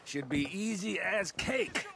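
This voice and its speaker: Slow-talking, sarcastic American outlaw. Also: male voice, sits high, lively intonation, creaky, a crowd in the background, full character voice. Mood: mocking. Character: cocky, sneering male outlaw gunslinger. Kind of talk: video game acting.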